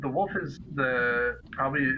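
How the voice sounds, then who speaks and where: A man with a middle-range voice talks through game decisions tentatively, doubling back on his phrases. The recording is clearly noisy, with clicks behind his casual chat. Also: mid-range voice, normal speed, muffled recording. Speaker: player in a tabletop role-playing game.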